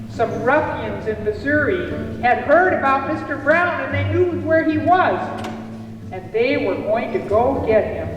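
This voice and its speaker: A woman's voice: high voice, dull audio. A woman narrating a puppet show, telling a story for children. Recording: echoey hall from far off, music.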